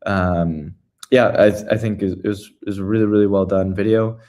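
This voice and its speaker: A man's voice: fairly low pitch, steady tone, a little fast, a little mellow. A man co-presenting a community town hall in a casual, loosely phrased and hesitant way.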